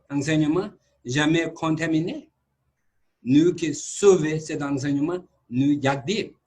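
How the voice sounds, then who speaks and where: Man, Tibetan accent with some Quebec French, Buddhist monk lecturing, calm, broken short phrases, rather high voice, unhurried. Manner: calm, repeats key words. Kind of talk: conference talk on Buddhist philosophy.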